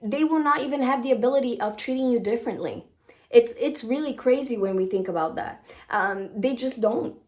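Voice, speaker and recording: A woman's voice: fairly high pitch, a little fast. A woman with a Brazilian accent, a Portuguese speaker, talking casually to camera as a manifestation coach, full of "like" and "um". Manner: chatty, with many "like" and "um" fillers.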